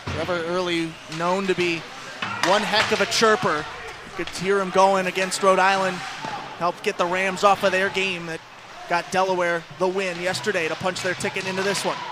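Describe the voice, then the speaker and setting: A man giving live ice hockey radio commentary as play-by-play announcer, excitable and ready to raise his voice on chances. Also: high voice, moderate pace, pauses often, noisy background.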